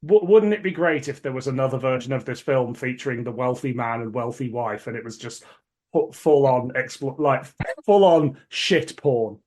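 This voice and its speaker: A man's voice: mid-range voice, moderate pace, a little mellow. A man speaking as the host of a casual podcast, chatting and reviewing.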